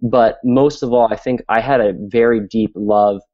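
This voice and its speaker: A man's voice: mid-range voice, fairly even intonation, moderate pace, warm tone. Candid young man from Singapore, lo-fi. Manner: candid, laughs easily, many fillers. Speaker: guest filmmaker. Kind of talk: casual interview. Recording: dull lo-fi audio.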